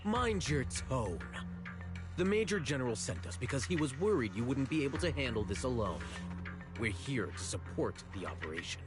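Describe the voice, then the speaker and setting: An actor playing a haughty young rookie soldier in a video game, condescending and defensive. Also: male voice, medium pitch, very animated, normal speed, music in the background, natural voice.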